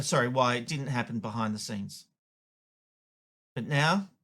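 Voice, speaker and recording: A man's voice: mid-range voice, fairly bright tone. A man hosting a show, delivering opinionated, emphatic editorial commentary. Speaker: show host, media commentator.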